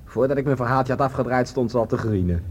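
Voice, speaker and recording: Acteur als vlotte, zelfvoldane samenzweerder. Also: male voice, mid-range voice, quick pace, darkish tone, lightly acted. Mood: smug, amused. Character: smug, callous male conspirator. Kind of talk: radio drama acting.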